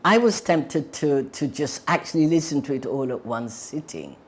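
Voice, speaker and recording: A woman's voice: low-pitched, expressive, normal speed. A woman giving a reflective spoken testimonial.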